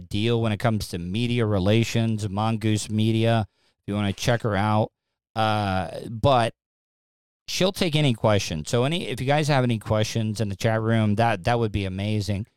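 A man's voice: fairly low pitch, moderate pace, clean tone, dry recording, studio quality. A man hosting a casual live-streamed talk show, rambling along with repeated words and restarts. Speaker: podcast host.

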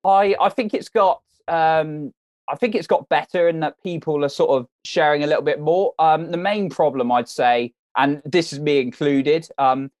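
A man's voice: a little high, normal speed, a little mellow, dry recording. A man from the United Kingdom giving advice in a casual interview, earnest and encouraging, often repeating words as he thinks.

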